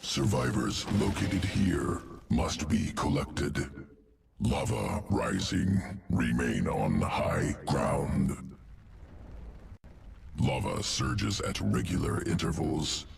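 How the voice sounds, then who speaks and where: An actor playing an inhuman insectoid evolution master in a video game, clinical and detached, speaking in clipped, guttural phrases. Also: male voice, extremely low pitch, big pitch swings, background noise, heavily acted. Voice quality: gravelly, guttural. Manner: clipped telegraphic phrases without articles, deliberate. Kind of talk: video game character dialogue.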